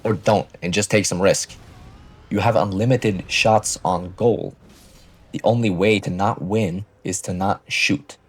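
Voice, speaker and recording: A man's voice: low-pitched, a little slow, breathy edge. A man in his early twenties giving a casual, blunt motivational monologue to viewers, assertive and swearing freely, on a close microphone. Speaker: finance vlogger.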